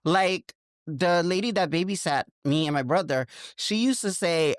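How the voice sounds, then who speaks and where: Animated woman with thick New York accent. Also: normal speed, dry recording, studio quality. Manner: animated storyteller, big pitch swings, frequent likes. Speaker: podcast guest. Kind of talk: casual chat.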